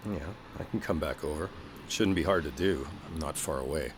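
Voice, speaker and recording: A man playing a frustrated, wounded boyfriend in a one-sided audio roleplay, talking in a naturalistic, halting way with a husky voice. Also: extremely low pitch, background noise. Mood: subdued, guarded. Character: frustrated, wounded boyfriend arguing with his partner.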